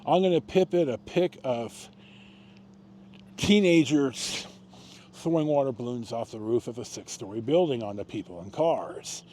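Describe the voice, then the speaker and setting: An American man hosting a storytime, telling personal stories in a casual, rambling, halting way, reminiscing and correcting himself as he goes. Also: mid-range voice, expressive, dark tone, slight background noise. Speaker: host of a personal storytime show.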